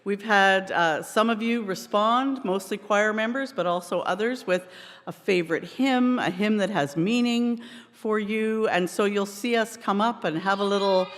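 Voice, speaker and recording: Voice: mid-range voice, measured pace, fairly bright tone. Church worship leader in a reverberant hall. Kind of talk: church service address, prayer and personal anecdote. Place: indoors in a church.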